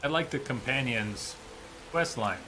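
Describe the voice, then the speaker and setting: A man's voice: medium pitch, animated, unhurried, over music, poor audio. A man giving casual, musing live commentary as he streams a video game. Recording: faint game rain behind voice. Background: game ambience and rain.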